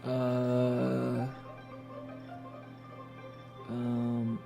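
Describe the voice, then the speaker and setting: A man livestreaming a video game, chatting casually and haltingly with viewers, with informal, sweary speech. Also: mid-range voice, completely flat delivery, creaky. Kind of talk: casual chat with stream viewers. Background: game music.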